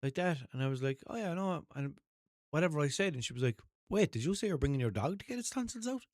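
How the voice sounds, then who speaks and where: A man's voice: fairly high pitch, expressive, quick pace, no room sound, studio quality. A young man with a mild Limerick Irish accent hosting a podcast, rambling through an unscripted monologue, second-guessing himself and swearing casually. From Ireland. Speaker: podcast host. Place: small room at home, seated in a desk chair.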